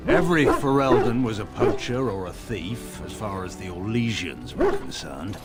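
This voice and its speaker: Man acting veteran male warrior noble, middle-aged, sardonic, weary, proud, grave formal phrasing, very expressive intonation, fantasy game dialogue, music behind. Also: lowish voice, normal speed, natural voice. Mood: reminiscing, earnest.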